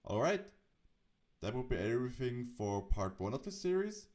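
A man presenting a software tutorial, narrating the setup step by step. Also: medium pitch, very animated, normal speed.

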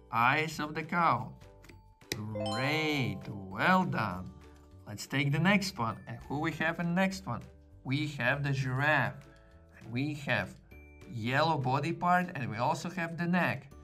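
A man, slowly and patiently talking toddlers through a puzzle, praising each step with "great" and "well done.". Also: fairly high pitch, animated, a bit bright, soft background music. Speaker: children's video presenter. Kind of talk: slow, encouraging narration to toddlers while doing a puzzle.

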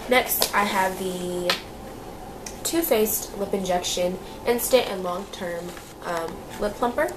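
A young woman talks through beauty products in a loose, chatty way, full of fillers and repeats. Her voice sits at a middle pitch and sounds a little nasal and stuffy from a cold. A clear layer of background noise runs under the recording. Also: slow. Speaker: beauty vlogger. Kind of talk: casual product review.

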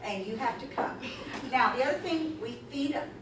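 Woman giving a conference talk, fairly high voice, from far back in a roomy hall, lots of noise. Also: normal speed. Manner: animated, emphatic, chatty with asides. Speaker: conference presenter. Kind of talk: conference talk with audience questions. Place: conference room, audience seated. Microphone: far camera in the audience. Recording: echoey room from far off, noisy.

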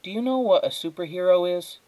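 A man voicing a cynical, earnest young girl from a storybook, bossy and excitable, talking in an emphatic conversational way. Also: sits high, fairly quick, voice pushed up, poor audio. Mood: explaining.

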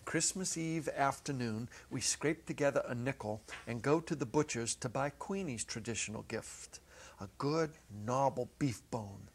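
A middle-aged man narrating a short story as a dramatic reading, theatrical, with deliberate pauses and shifts in intensity. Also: mid-range voice, a little hiss or hum. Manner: theatrical, varying from hushed to emphatic, with deliberate pauses. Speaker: narrator, reader. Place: indoor stage set, seated at a music stand. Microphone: clip-on lapel mic.

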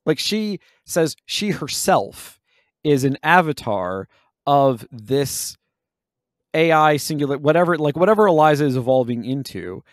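A man's voice: a little high, lively intonation, unhurried, no room sound, clean studio sound. A man co-hosting a podcast, talking analytically and searching for his words with frequent self-corrections. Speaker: podcast co-host. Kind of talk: analytical discussion of a video game.

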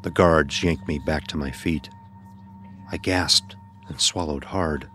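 A low-voiced man tells a dark first-person story over faint music. His delivery is grave, measured and dramatic, as in an audio horror tale. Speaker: narrator.

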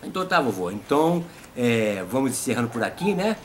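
A man's voice: mid-range voice, sing-song, a little fast, slight background noise. A man in his fifties with a Brazilian accent, hosting a casual comic interview. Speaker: host and puppeteer.

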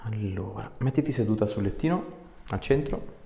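A man's voice: lowish voice, normal speed, gravelly, dark tone. A man in his thirties working as an osteopath, talking casually with a patient and giving short instructions. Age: 30s. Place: small bare clinic treatment room. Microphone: clip-on lapel mic. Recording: echoey small clinic room.

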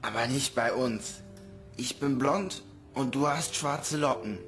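A big orphan boy played by an actor in a radio play, protective yet disillusioned. He talks bluntly and sceptically at a middling pitch, with faint music behind. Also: slow, fairly bright tone. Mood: blunt, bitter. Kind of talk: radio drama acting.

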